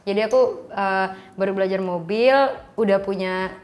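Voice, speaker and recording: A woman's voice: medium pitch, fairly even intonation, moderate pace, a little mellow. A young woman from Java in her twenties, a singer guesting on a casual podcast, chatty and laughing often.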